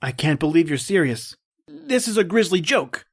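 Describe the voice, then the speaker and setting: A man's voice: fairly high pitch, very animated, normal speed. A man as a grave, wary hunter. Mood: appalled. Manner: grave, earnest, dramatic. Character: principled male hunter, wary and appalled guest. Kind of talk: old-time radio drama acting with first-person narration.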